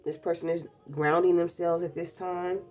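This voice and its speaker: A woman's voice: lowish voice, flat delivery, normal speed, a little mellow. A woman with a stuffed-up cold, chatting bluntly and casually through a tarot reading, calling her viewers babies. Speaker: tarot reader. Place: indoors at a table covered in tarot decks.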